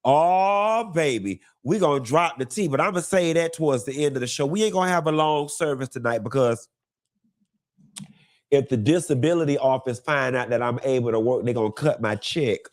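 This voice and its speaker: A congested man hosting a live gossip show, talking in a sassy, campy drawl, calling listeners honey and baby and swooping up high for emphasis. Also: moderate pace. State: congested from a cold. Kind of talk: casual campy gossip monologue.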